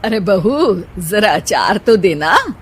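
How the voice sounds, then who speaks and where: A woman's voice: mid-range voice, sing-song, slow, noisy background. A woman voicing an exasperated, scolding mother-in-law in a cartoon family story, with a nagging, emphatic delivery. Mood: puzzled. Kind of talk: animated moral story dialogue.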